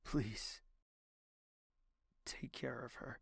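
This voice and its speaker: A man's voice: medium pitch, fairly even intonation, very slow, vocal fry, whispered, full character voice. A man playing a proud, betrayed young royal in an audio drama, intense and imperious, with bitterness that can turn volatile. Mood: pleading.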